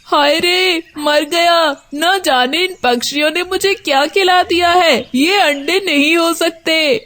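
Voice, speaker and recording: Wicked male snake for a kids' cartoon female performer, greedy, slow drawn-out lines, high pitch. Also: fairly bright tone, heavily acted. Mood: panicked, dismayed. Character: wicked greedy male snake who devours bird eggs. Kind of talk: children's cartoon story acting.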